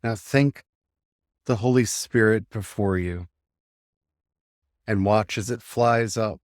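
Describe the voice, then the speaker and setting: A man leading a guided meditation, giving gentle instructions in short phrases with unhurried pauses. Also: fairly low pitch, no room sound, studio quality.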